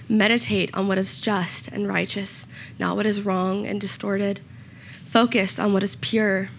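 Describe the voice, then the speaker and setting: A young woman giving a short church sermon with a slightly raspy voice, earnest and personal, with small self-conscious asides. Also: mid-range voice, slight background noise. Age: young adult. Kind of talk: sermon with scripture reading and prayer. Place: church room.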